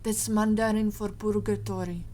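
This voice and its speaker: Casting: actress as measured, informed female agent for audio drama, mid-range voice over light background noise. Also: mid-range voice, steady tone, mellow, natural voice. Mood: composed, explanatory. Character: cool, informed female Interpol agent held captive.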